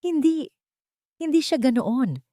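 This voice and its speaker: A woman's voice: high-pitched, expressive, slow pace, dark tone, no room sound, clean studio sound, pitched above the performer's own voice. A woman voicing a guarded, troubled wealthy male patient who speaks tersely in a drama story. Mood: defensive. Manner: terse, uncertain.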